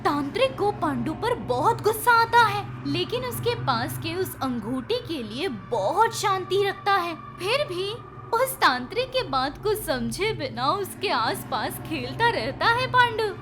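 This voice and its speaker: A woman's voice: extremely high pitch, sing-song, measured pace. A woman narrating a scripted children's story. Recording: music behind the voice.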